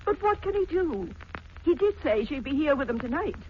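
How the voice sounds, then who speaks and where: Elderly woman, doting possessive mother, fussy and martyred, animated pitch, old radio drama, muffled noisy recording. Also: normal speed. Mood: disapproving. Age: older. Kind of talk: 1940s radio acting.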